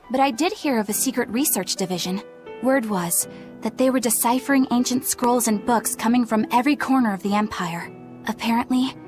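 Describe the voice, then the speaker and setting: A woman's voice: fairly high pitch, natural voice. An actress voicing an earnest, principled young woman exorcist in game dialogue, precise and serious. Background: game score.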